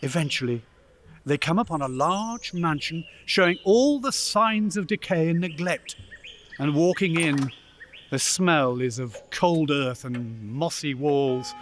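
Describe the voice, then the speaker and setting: A man narrating a radio play as a scripted storyteller, in a measured, dramatic manner. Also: on the high side, expressive, fairly bright tone, slight background noise.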